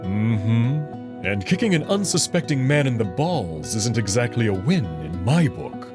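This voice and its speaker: A man's voice: mid-range voice, big pitch swings, unhurried. A man voicing a confident, teasing and protective alien wolf noble in a visual novel, speaking in an assured, slightly formal way. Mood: teasing, defensive. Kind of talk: visual novel character acting. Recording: music behind the voice.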